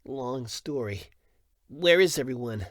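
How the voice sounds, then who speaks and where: A man's voice: medium pitch, lively intonation, measured pace, a bit bright, no room sound, studio quality. A man playing a young cartoon hedgehog hero in an audio drama, cocky but weakened and weary.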